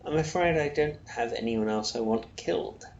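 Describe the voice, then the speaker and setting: A man reading a storybook plays a mild, thrifty customer who is tempted by any bargain. He sounds hesitant yet keen, with some creak in the voice and a roomy echo around it. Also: mid-range voice, moderate pace, a little mellow, lo-fi sound, pitched above the performer's own voice, natural voice. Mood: surprised. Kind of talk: storybook character voice. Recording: roomy echo, faint noise.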